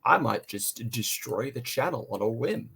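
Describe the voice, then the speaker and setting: A man co-hosts a casual review chat for fellow fans. He is enthusiastic and jokey, and he tends to stumble and repeat words while getting his thought out. His pitch sits in an ordinary middle range for a man. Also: animated, normal speed, creaky, a bit bright, slightly rough. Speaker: podcast co-host and reviewer.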